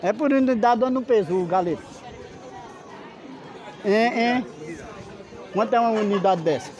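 A man's voice: high-pitched, normal speed, muffled recording. A man, chatting with vendors and calling out prices as he walks a street market with his phone. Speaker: vlogger touring a market. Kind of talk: casual walking vlog. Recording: loud market crowd around.

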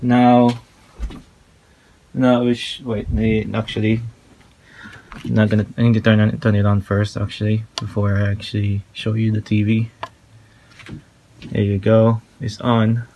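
Halting, flat-toned man with background hiss. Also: lowish voice. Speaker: tutorial presenter. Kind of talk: casual hands-on tutorial. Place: small room at home, indoors. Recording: noisy handheld home recording.

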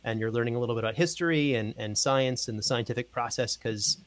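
A man's voice: medium pitch, fast talker. A man in his thirties chatting casually on a video call, telling people about his work. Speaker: audio drama podcast producer, guest. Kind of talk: casual promotional chat.

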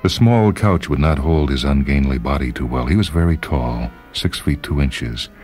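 Extremely deep-voiced male storyteller. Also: moderate pace, a little mellow. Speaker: story reader. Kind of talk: dramatic literary narration. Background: music.

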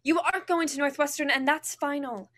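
A woman's voice: high voice, fairly even intonation, brisk, a bit bright. A young actress playing a controlling, bitter mother, insistent and commanding, in a staged reading over video call. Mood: cold, firm. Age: young adult. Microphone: video call at desk distance.

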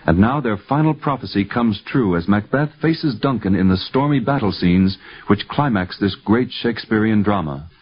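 A man's voice: fairly low pitch, expressive, normal speed, hardly any pauses, slightly rough. A man giving formal, dramatic scripted narration in the manner of a 1950s radio programme. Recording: dull 1950s radio recording.

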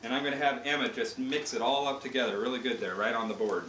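An American man with a mid-pitched voice presents a casual cooking demonstration in a playful, step-by-step way. He is recorded on a home camcorder in an echoey kitchen, with clear noise around his voice. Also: steady tone, quick pace, a bit bright. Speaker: father hosting a home cooking show. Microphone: camcorder mic a few feet away.

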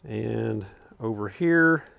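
A man's voice: fairly low pitch, expressive, drawn-out words, creaky, a bit bright, dry recording. An older man in his garden outdoors, rambling easily with lots of fillers as he films a walkthrough from behind a handheld camera. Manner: easygoing, rambling, with many fillers and chuckles. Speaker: homesteader showing his garden. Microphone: handheld camera, speaking from behind it.

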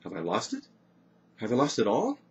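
An old, dangerous storybook sorceress, performed by a man, proud and volatile. The voice is rough and husky with big pitch swings. She speaks grandly in archaic dialect, on a slightly muffled recording. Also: lively intonation, moderate pace. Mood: dismayed.

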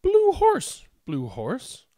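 A man in his thirties, host of a children's read-aloud, speaking in an expressive, sing-song way. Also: extremely high pitch, drawn-out words, no echo, studio quality. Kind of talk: picture-book read-aloud with casual introduction. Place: indoors, small room at home.

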